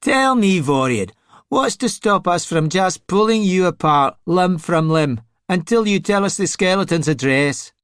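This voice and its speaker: A sneering, contemptuous storybook thug, a bully of a sorcerer whose voice rides high and tight against a dry, close backdrop. He taunts and menaces with easy cruelty, as a male narrator puts him on. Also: expressive, measured pace, fairly bright tone, clean tone, no room sound. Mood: threatening.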